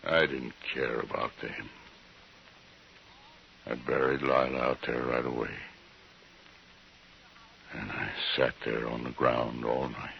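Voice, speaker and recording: Very deep, gravelly, weary homesteader. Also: male voice, little pitch movement, heavily acted. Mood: grieving, numb. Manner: plain rustic speech, halting, subdued. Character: gaunt weary frontier homesteader, grieving, resigned, guilt-haunted. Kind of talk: old-time radio western acting. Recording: dull, hissy old radio recording.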